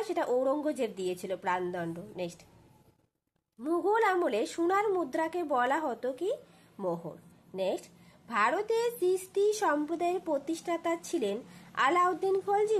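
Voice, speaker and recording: A woman teaching, reading out exam questions and answers in an instructional way with frequent checking questions. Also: sits high. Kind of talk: exam question walkthrough for school pupils.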